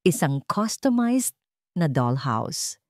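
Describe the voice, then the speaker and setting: A woman's voice: low voice, sing-song, slow, darkish tone, dry recording, clean studio sound, full character voice. A woman voicing a deferential, matter-of-fact male delivery worker in a love story. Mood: polite. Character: polite, hesitant deliveryman in uniform.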